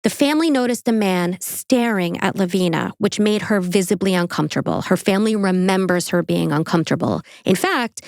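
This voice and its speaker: A woman's voice: medium pitch, no echo, clean studio sound. A woman with a New York accent hosting a true crime podcast, reading the case and breaking off for casual, joking asides.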